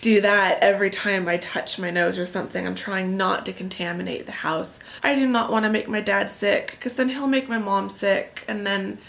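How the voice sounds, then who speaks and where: Woman, head cold, stuffed-up nose, gravelly fry, mid pitch, chatty casual vlog talk. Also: fairly even intonation, normal speed, hardly any pauses, fairly bright tone. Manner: chatty, rambling, self-deprecating. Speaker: vlogger. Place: indoors at a family house, on a couch.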